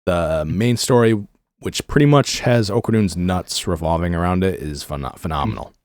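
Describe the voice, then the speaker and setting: A man co-hosting a casual chat show, his voice going hoarse, reviewing with enthusiasm. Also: low voice, moderate pace, darkish tone, no echo. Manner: enthusiastic, rating and reviewing at length. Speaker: podcast co-host.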